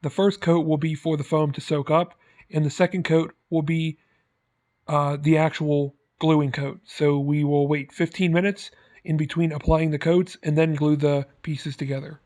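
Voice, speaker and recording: A man's voice: a little high, little pitch movement, normal speed, darkish tone. A man narrating a scripted how-to tutorial as its host, in a plain, instructional manner. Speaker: host of prop-making tutorial.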